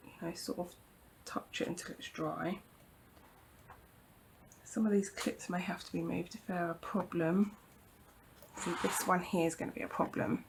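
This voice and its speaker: A woman demonstrating a craft project at her home craft table, croaky from hay fever, talking in a chatty, reassuring way with frequent ums and apologies. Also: fairly low pitch, slight background noise, rough recording. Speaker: craft tutorial host. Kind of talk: casual hands-on craft demonstration.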